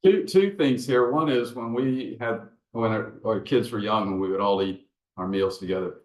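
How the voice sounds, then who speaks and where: A man with a lowish voice joins in with light jokes and a family anecdote. He talks in a casual, friendly way. The sound is echoey, from a room on a video call. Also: moderate pace. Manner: joking, anecdotal. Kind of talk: casual chat.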